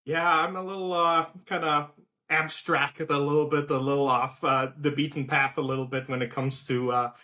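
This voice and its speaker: A man's voice: a little high, moderate pace. A man from South Africa with a light South African accent, a guest talking casually in an interview with many fillers. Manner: relaxed, many fillers and restarts. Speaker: guest, outreach director.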